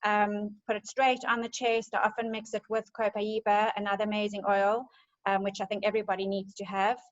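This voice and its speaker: Chatty woman, flat delivery. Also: medium pitch, brisk, muffled recording. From South Africa. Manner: chatty, enthusiastic, many fillers. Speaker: essential oils presenter. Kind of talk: casual group presentation.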